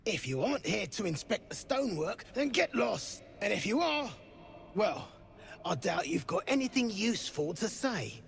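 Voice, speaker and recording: An actor playing a grumpy, greedy, territorial dwarf in a video game, gruff, barking and dismissive. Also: male voice, high-pitched, sing-song, moderate pace, fairly bright tone, exaggerated voice. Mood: scornful. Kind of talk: video game character acting. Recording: reverberant hall, light ambient noise.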